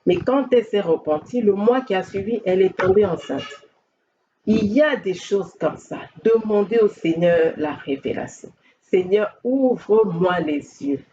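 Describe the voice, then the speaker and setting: A woman's voice: mid-range voice, measured pace, dark tone, muffled recording, slight background noise. A woman preacher with a French-speaking Central African accent, delivering an earnest sermon full of rhetorical questions and calls to repent.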